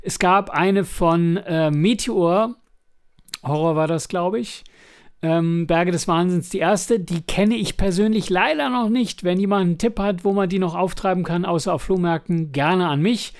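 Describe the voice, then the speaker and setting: A man co-hosting a podcast in casual review talk, often halting and correcting himself mid-sentence. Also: high voice, clear, resonant tone. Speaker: podcast co-host.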